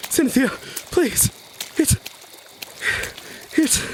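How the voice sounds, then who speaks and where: A woman's voice: high voice, expressive, drawn-out words, a little mellow, slight background noise, heavily acted. An actress playing a terrified woman who stammers and pleads in a horror audio drama. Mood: panicked pleading.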